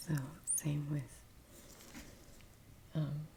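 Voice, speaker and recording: A woman speaking very softly and breathily, often near a whisper, in halting, casual ASMR musing while she works. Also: low voice, monotone, gravelly, a little hiss or hum. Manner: hushed, halting, unhurried. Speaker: ASMR artist hosting a painting session.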